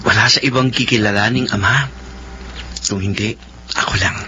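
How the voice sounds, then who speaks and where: A man's voice: fairly low pitch, normal speed, pushed and bright, dull audio, faint music behind. A man playing a devoted young groom in a romantic radio drama, speaking earnestly and tenderly. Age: young adult.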